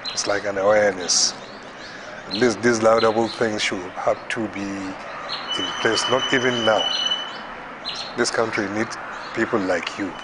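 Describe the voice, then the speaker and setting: A man's voice: lowish voice, fairly even intonation, unhurried, long pauses. A man with a Nigerian accent giving informal remarks on camera. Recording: very noisy location with birdsong.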